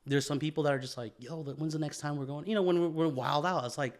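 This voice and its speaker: A man's voice: a little high, fast. A man co-hosting a podcast in a casual, reflective way, swearing freely and repeating himself, with "man" and "bro" thrown in. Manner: informal, profane, repeats phrases, peppers talk with "man" and "bro". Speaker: podcast co-host.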